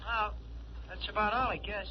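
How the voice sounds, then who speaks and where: An actor playing a dim, eager working-class sidekick in slangy, ungrammatical speech, in old radio drama style. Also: male voice, high-pitched, steady tone, moderate pace, natural voice. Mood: puzzled, compliant. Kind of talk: 1940s radio acting. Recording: noisy, muffled old radio recording.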